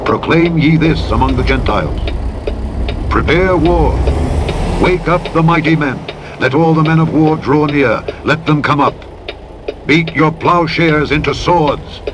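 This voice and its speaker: A man narrating the Bible in a solemn, dramatic, measured and declamatory style. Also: fairly high pitch. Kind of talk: solemn dramatic scripture reading. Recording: engine and vehicle noise over playback. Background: truck engine and road noise from a driving game.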